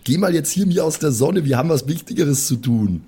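Man acting a husky, sneering, foul-mouthed gas-station clerk. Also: on the high side, animated, a little fast, dark tone. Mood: scornful. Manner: sneering, swearing, contemptuous.

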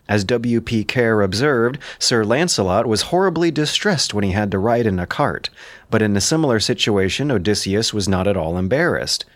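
A man's voice: fairly low pitch, dry recording, studio quality. A man delivering scripted literary commentary as a host, in an erudite, emphatic and enthusiastic manner.

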